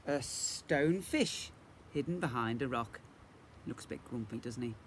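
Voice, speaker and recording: A man reading a picture book expressively as a teacher for young children, pausing to ask listeners questions. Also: medium pitch, lively intonation, very noisy. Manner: questioning, engaging asides to listeners.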